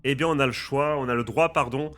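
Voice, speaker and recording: A bright, forceful-sounding man with a slight head cold, talking casually and eagerly to his viewers. He keeps a chatty, lively tone as he lays out the rules, while quiet music plays in the background. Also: mid-range voice, a little fast. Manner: lively, addresses viewers as friends and guys. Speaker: gaming YouTuber and streamer.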